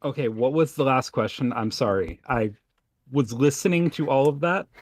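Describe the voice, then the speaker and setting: A man chatting casually as a podcast guest, with a hesitant, halting way of talking. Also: mid-range voice, dry recording, studio quality. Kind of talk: casual chat.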